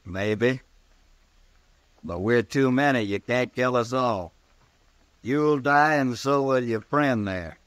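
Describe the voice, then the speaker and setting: Defiant cowboy gang leader, dry sound. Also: male voice, medium pitch, takes it slowly, long pauses, fairly bright tone, no room sound. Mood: menacing. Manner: folksy, blunt, menacing. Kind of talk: mid-century American radio western acting.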